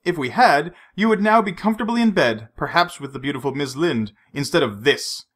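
A man reading a storybook, voicing a suave, menacing male villain who mocks his captive with unhurried threats. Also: on the high side, expressive, fairly bright tone, breathy edge. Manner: silky, mocking, unhurried threats.

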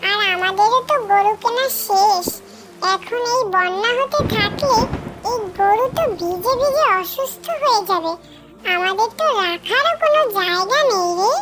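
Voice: very high-pitched, lively intonation, a little slow, very noisy. A cartoon voice actor playing a young boy, an earnest and fretful little brother. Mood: worried. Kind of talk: cartoon voice acting.

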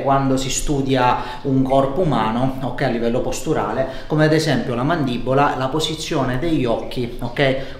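Echoey middle-aged man explaining posture. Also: mid-range voice. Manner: didactic, frequently checking understanding. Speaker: chiropractor. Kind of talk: explaining posture during a filmed treatment. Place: treatment room with wooden floor and furniture, indoors. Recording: echoey room sound.

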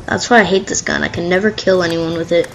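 A woman's voice: fairly low pitch, fast talker. Sniffly woman chatting over gameplay. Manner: excitable, chatty, cries out during fights. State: sniffly with a cold. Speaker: gameplay commentator. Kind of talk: casual live gameplay commentary. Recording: faint gunfire and game noise behind.

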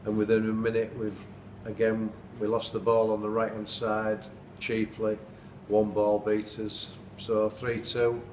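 Middle-aged man, football manager, earnest and flat, noisy room sound. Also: lowish voice, measured pace, far from the mic. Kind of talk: post-match interview. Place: indoors at night, dim room. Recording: echoey room, off-mic, noisy.

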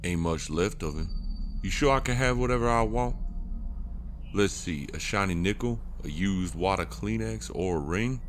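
A man voicing a cocky, taunting, rough-talking drifter in an audio horror story, with teasing, folksy slang. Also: sits low, normal speed, soft background music, voice pushed up, natural voice. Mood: casual, greedy.

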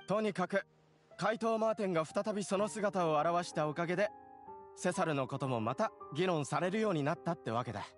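High-voiced, talkative young townsman. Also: expressive, fairly quick, soft background music. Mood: knowing, casual. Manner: casual, assertive, storytelling. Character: young townsman, talkative, confident admirer of a magician. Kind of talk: anime game voice acting.

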